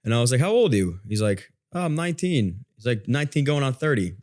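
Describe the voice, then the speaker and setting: A man's voice: mid-range voice, very animated, quick pace, darkish tone. A man chatting casually as a podcast guest, telling stories informally and often interrupting himself. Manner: informal, self-interrupting storytelling. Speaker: podcast guest, online content creator. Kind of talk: casual chat.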